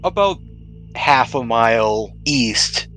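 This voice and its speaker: A man's voice: medium pitch, animated, slow pace, fairly bright tone, low-quality recording. Man running a tabletop game over music. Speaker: game master. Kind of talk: narrating a tabletop roleplaying game, conversational.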